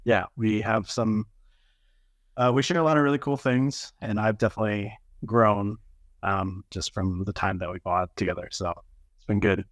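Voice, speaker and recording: A man's voice: fairly low pitch, normal speed, no echo. A man from New Jersey as a podcast guest, telling his life story casually with lots of fillers. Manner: relaxed, filler-heavy storytelling. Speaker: interview guest. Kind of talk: casual interview chat.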